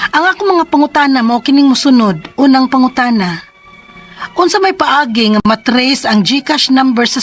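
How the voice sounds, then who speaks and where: A woman's voice: a little high, lightly acted. An actress playing a trusting, desperate young female job seeker in a radio drama, with a Cebuano accent. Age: young adult. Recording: music bed behind.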